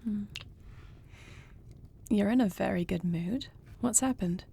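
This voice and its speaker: A woman playing an affectionate, dutiful queen in an intimate fantasy audio roleplay, speaking softly and formally with measured, murmuring diction. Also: mid-range voice, vocal fry, slightly rough, heavily acted. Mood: intrigued, pleased. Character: affectionate, dutiful dragon queen, newly wed to a princess.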